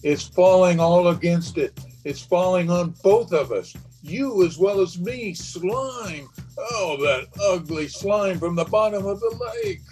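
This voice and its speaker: A man's voice: sits high, very animated, a little slow, hardly any pauses, a little mellow, soft background music, low-quality recording. Man acting haunted, feverish killer in radio horror. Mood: panicked. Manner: melodramatic, feverish, repeating words. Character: guilt-ridden, haunted male killer, raving with dread.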